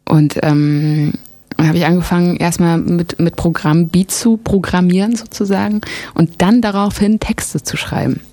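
A woman from Germany chats easily in an interview, her voice carrying a gravelly edge. She hesitates often and fills gaps with small sounds. The recording is dry and close, with no room around it. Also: lowish voice, normal speed, dry recording. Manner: relaxed, hesitant with frequent fillers. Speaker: musician guest. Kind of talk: casual interview talk.

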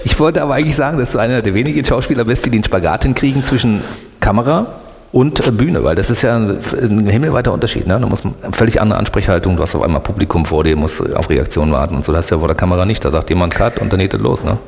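A man's voice: lowish voice, animated, racing, vocal fry, a little mellow, long reverb tail. A man from Berlin with a Berlin accent, hosting a late-night radio talk with joking questions. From East Berlin, Germany. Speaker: radio host. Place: radio studio.

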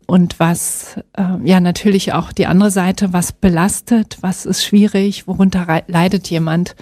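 A middle-aged woman from Berlin speaks as a psychologist guest, choosing her words with care and easing in with little fillers. Her tone is mellow and warm rather than bright. She sits close to the microphone in a dry, dead room. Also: on the low side, steady tone, no echo. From Berlin, Germany. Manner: thoughtful, measured, with fillers and soft laughs. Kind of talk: reflective interview answers.

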